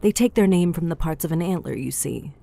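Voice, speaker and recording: A woman's voice: lowish voice, lively intonation, fairly quick, gravelly, warm tone. A woman voicing a cheeky, flirtatious female goliath innkeeper in an audiobook, chatty and teasing, with a motherly streak. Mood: explanatory. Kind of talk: audiobook character dialogue. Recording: music bed behind the voice.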